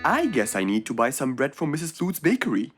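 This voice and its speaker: A woman's voice: a bass voice, very animated, a little fast, over music. A woman voicing a kindly, helpful fox with a friendly, patient manner in a children's cartoon. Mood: hungry, musing.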